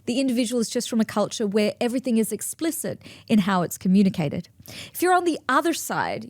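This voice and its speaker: A woman in her forties, hosting her own show and explaining ideas to listeners in a lively, expressive way. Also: medium pitch, dry recording, studio quality. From grew up between East and West, in Australia. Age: 40s. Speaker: podcast host and speaker. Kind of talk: solo talk to listeners.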